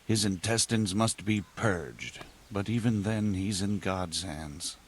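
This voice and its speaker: An actor playing a grave, pious medieval healer monk in a video game, measured and solemn. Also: male voice, sits low, expressive. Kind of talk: video game cutscene dialogue. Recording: faint ambient noise.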